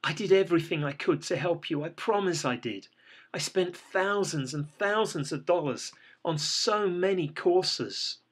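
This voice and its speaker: A man voicing a distraught small business owner, crying and pleading in a high, strained voice. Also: animated, measured pace, a bit bright. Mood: tearful. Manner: pleading, tearful, voice breaking high.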